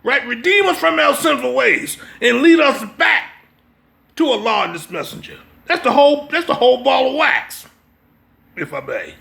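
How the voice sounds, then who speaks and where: Male American preacher, forceful bright tone with creaky edge, urgent exhortations, off-the-cuff teaching. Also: very high voice, big pitch swings, normal speed. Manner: emphatic, exhortative, repeatedly checking listeners understand. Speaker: religious teacher. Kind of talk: impromptu sermon with brief reading.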